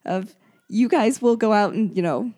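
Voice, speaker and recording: A woman hosting a book show in casual conversation, her voice hoarse and gravelly and prone to cutting out. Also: mid-range voice, moderate pace, dark tone. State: hoarse, gravelly voice that comes and goes. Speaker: host of a book discussion show.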